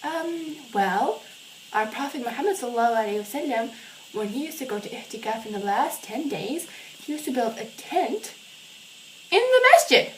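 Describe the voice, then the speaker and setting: A woman voicing a calm, patient mother in a children's puppet show, explaining things gently. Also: a little high, normal speed, slight background noise, low-quality recording.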